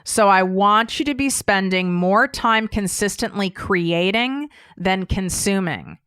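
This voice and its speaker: A woman's voice: medium pitch, slow pace, a bit bright, smooth, no room sound, clean studio sound. An American woman hosting a podcast, speaking in a blunt, emphatic coaching style and casually swearing as she talks straight to her listeners. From United States. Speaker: podcast host. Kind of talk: casual solo coaching talk.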